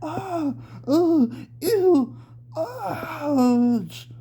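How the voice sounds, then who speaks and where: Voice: sits high, animated, extremely slow, mellow, pitched above the performer's own voice, exaggerated voice. A narrator voicing a hurt, protesting young girl in an amateur manga read-aloud. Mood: pained, panicked. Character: injured young girl, squirming and protesting in pain.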